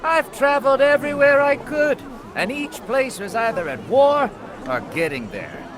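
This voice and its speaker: High-voiced scoffing old tavern drinker. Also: male voice, sing-song, normal speed, crowd noise, background noise. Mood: scoffing, boastful. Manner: scornful, blustering. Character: scoffing, world-weary male teahouse regular, old drinker. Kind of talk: dramatic video game dialogue. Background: music.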